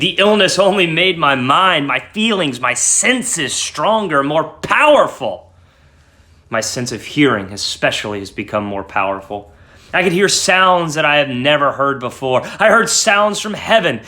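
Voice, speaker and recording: A man's voice: on the high side, voice pushed up, exaggerated voice. A man playing an agitated madman who insists he is sane, in an intense, theatrical dramatic reading of a gothic story. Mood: frantic, defensive. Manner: intense, theatrical, with sudden hushes and swells. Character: agitated madman insisting on his sanity, confessing a murder.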